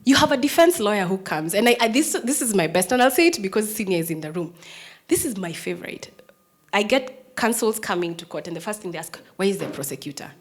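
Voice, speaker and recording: A woman in her thirties with a Kenyan English accent, a prosecutor giving a personal storytelling talk to an audience. She has a strong, firm voice and shifts between hushed, near-whispered lines and loud, emphatic ones. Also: medium pitch, expressive, fast talker.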